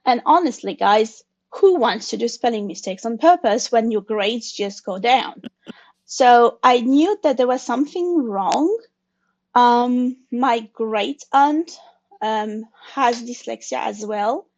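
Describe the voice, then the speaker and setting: A woman's voice: a little high, expressive, slow pace, darkish tone, highs cut off. A woman in her forties whose first language is French, speaking English as an interview guest in a thoughtful, hesitant way with frequent restarts. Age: 40s. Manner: thoughtful, hesitant, with restarts and non-native phrasing. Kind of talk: conversational interview.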